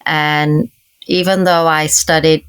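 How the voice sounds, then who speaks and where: A woman's voice: low voice, steady tone, slow pace, clean tone, no echo. A woman in her fifties, from Kenya and raised in the UK, telling her life story as a podcast guest in an earnest, reflective way. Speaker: guest, leadership coach. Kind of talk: conversational interview storytelling.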